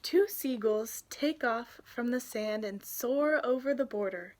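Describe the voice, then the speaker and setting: A young adult woman reading a picture book aloud expressively, in an animated way, for young listeners. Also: on the high side, unhurried. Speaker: read-aloud storyteller, youth intern.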